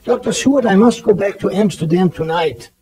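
A grave, authoritative male professor of medicine, played by a man in a radio drama. His voice is mellow and a little high. He instructs decisively in clipped phrases. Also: brisk, natural voice. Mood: measured, musing.

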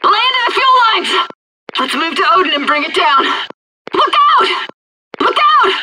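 A tough female astronaut soldier for a combat video game. Her voice swings dramatically and climbs very high, with clipped, intense, commanding delivery. It comes through a radio filter with clear noise around it. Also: big pitch swings, moderate pace. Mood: focused, businesslike. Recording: noisy space radio transmission.

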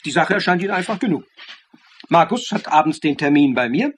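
An actor playing an insistent, methodical accuser who lays out a murder theory in pointed phrases in a German radio play. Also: male voice, on the high side, expressive, fairly quick, a little hiss or hum, natural voice.

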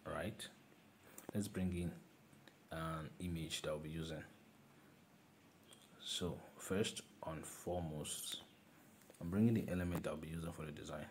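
A man's voice: a bass voice, fairly even intonation, a little slow, pauses often, darkish tone, a little hiss or hum. A man talking viewers through a phone design app step by step, repeating himself and calling things "this guy". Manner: instructive, repeats steps, calls objects "this guy". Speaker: design tutor. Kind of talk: screen-recorded software tutorial.

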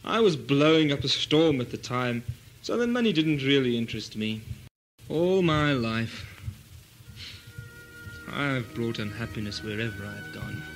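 A man's voice: mid-range voice, lively intonation, measured pace, long pauses, soft background music, close to an everyday voice, rough recording. Melodramatic, pompous adventurer played by a man. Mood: solemn, weary. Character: theatrical, aggrieved adventurer confessing his past. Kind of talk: comic radio melodrama.

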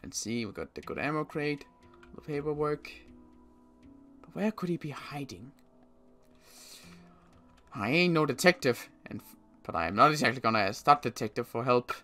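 A man roleplays a suspicious male survivor in a zombie game, talking to himself. His pitch moves in lively swings as he stammers and repeats words. Faint music plays underneath. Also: moderate pace, long pauses. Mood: pondering, muttering. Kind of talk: improvised game roleplay monologue.

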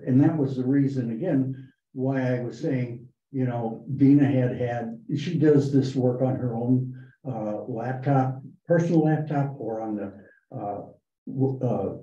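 A man reporting to a town board meeting, talking in a halting way with many fillers and restarts. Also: mid-range voice. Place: town hall meeting room. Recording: echoey, muffled meeting room recording.

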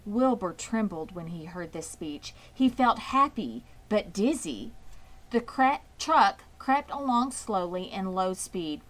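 A woman's voice: medium pitch, animated, slow, poor audio. A woman narrating a children's book as a scripted read-aloud. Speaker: storybook reader.

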